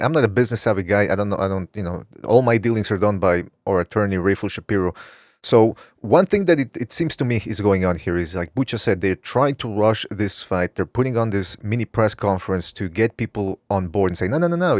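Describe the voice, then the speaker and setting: A man's voice: on the low side, no room sound, studio quality. A man hosting a casual podcast, rambling as he reads viewer chat comments aloud. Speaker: podcast host. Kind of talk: casual talk show chat.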